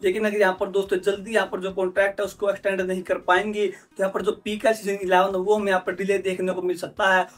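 High-pitched young man, even delivery. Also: quick pace, few pauses, faint music behind. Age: 20s. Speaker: sports news presenter. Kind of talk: scripted YouTube sports update to camera.

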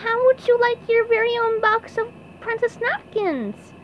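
A woman voicing an eager, naive young male cartoon sponge for a storybook, squeaky and excitable. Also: measured pace, highs cut off, slight background noise. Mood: eager, polite. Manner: excitable, pleading, sputtering when flustered.

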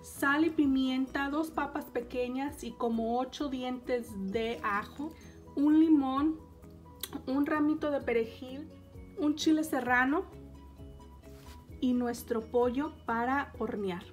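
High-voiced woman. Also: slow, faint music behind. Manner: calm step-by-step instruction, addressing viewers. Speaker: cooking show host. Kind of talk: home cooking demonstration.

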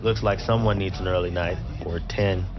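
A man plays a blunt footballer teammate with a low voice, admonishing and critical in a video game drama. The audio is slightly dull, with music clearly behind it. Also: steady tone, moderate pace, lightly acted. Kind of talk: scripted game drama dialogue.

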